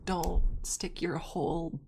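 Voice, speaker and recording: A woman chatting casually on an ASMR livestream, soft-spoken and halting, close to a streaming microphone. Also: lowish voice, steady tone, gravelly, background noise.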